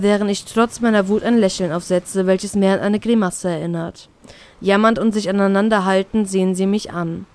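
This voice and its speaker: A woman reading a first-person fan fiction story as an expressive narrator. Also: medium pitch, clean tone, dry recording.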